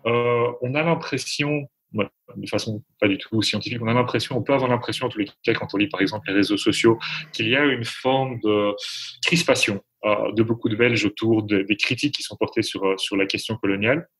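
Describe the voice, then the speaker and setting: A man's voice: fairly low pitch, steady tone, brisk, a bit bright, husky. A man giving spontaneous expert commentary as an interview guest, hesitantly repeating small words as he thinks.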